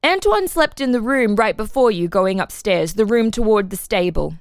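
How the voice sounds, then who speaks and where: A woman's voice: medium pitch, lively intonation, moderate pace, smooth, dry recording, studio quality, natural voice. A woman voicing an earnest ten-year-old farm boy giving simple, plain-spoken testimony in a dramatic reading. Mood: earnest, certain. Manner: simple, plain-spoken, answering questions literally.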